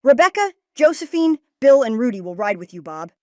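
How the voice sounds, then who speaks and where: A woman's voice: a little high, big pitch swings, fairly quick, no echo. A woman voicing an earnest child leader who takes charge, in a cartoon-style comic dub. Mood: organizing. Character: earnest kid leader, Max, organizing friends. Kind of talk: comic dub character acting.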